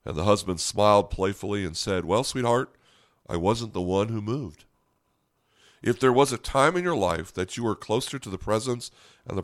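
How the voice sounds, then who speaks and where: A low, slightly hoarse male voice with an American accent, recorded close and dry. He is a pastor hosting a podcast, preaching conversationally and putting earnest, direct questions to his listeners. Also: no room sound.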